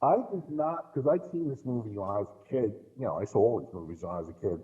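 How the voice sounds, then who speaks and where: A man's voice: lowish voice, lively intonation, fast talker, warm tone, muffled recording. A middle-aged man talking casually about films in a blunt, emphatic way, given to swearing. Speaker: podcast guest co-commentator. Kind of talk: casual film discussion.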